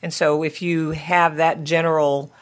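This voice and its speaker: A man's voice: on the high side, little pitch movement, unhurried. A chancellor at a board meeting, explaining his points carefully. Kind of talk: formal meeting remarks.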